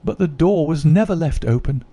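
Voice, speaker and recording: Male reader as guilty young noblewoman, earnest, confessional, mellow tone. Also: on the high side, lively intonation, moderate pace, no echo, voice pushed up. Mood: remorseful.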